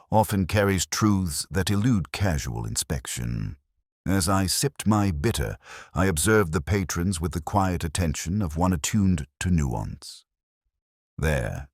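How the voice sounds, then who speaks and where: Casting: male actor as contemplative, observant detective narrator for a mystery audio drama; extremely low register, varied intonation, measured literary delivery. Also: no echo, clean studio sound, full character voice. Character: pensive, observant male detective recounting his case. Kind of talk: scripted first-person detective narration.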